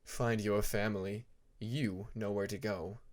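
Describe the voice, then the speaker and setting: A man voicing a composed, unimpressed teenage dragon king with a measured, smug manner in an audiobook story. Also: fairly low pitch, fairly even intonation, voice pushed down, close to an everyday voice. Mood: calm assurance. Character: calm, unimpressed fifteen-year-old male dragon king. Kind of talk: fan fiction audiobook character voice.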